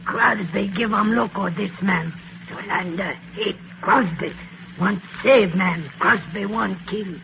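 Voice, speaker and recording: An actor in an old radio drama playing a friendly woodland healer who speaks broken pidgin English in short phrases. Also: male voice, high voice, takes it slowly, gravelly, full character voice. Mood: earnest. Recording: tinny, noisy old radio recording.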